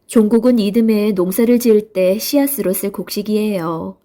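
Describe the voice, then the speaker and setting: Mellow woman narrating a children's storybook. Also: mid-range voice, moderate pace.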